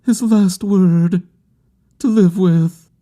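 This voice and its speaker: A man voicing a grieving, devoted woman in a literary audiobook, pleading and intense in a hushed, drawn-out delivery. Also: sits high, steady tone, voice pushed up. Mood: desperate insistence. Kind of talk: dramatic literary audiobook character acting.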